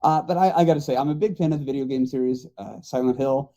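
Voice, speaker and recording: A man's voice: medium pitch, fast talker, mellow. A middle-aged man chatting casually on a podcast panel about movies.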